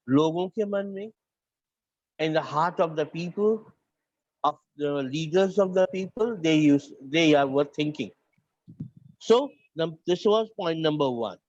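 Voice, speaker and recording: A man's voice: high-pitched, darkish tone, no room sound, lo-fi sound. A man with a north Indian accent lecturing a class, switching between English and Hindi and often restarting his phrases. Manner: repeats and restarts phrases, dictates points to students. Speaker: teacher.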